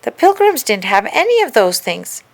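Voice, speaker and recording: A woman's voice: on the high side, sing-song, moderate pace, creaky, pitched above the performer's own voice. A woman voicing a hopeful, eager and stubborn young girl in a children's story. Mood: eager pleading.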